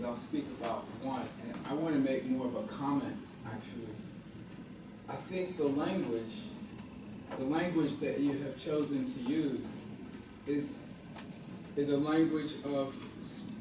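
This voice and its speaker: A man in the audience offers a long comment, thinking aloud and restarting some phrases. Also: mid-range voice. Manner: thoughtful, restarts his phrasing. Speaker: audience member making a comment. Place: lecture hall. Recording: echoey hall, voice from far off, clear background noise.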